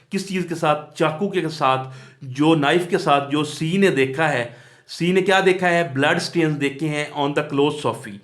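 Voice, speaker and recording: A man with a Pakistani accent giving an explanatory law lecture, didactic, posing questions and answering them himself, mixing in English terms. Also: on the high side. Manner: switches between Urdu-Hindi and English legal terms. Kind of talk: explanatory exam lecture, reading out definitions and breaking them down.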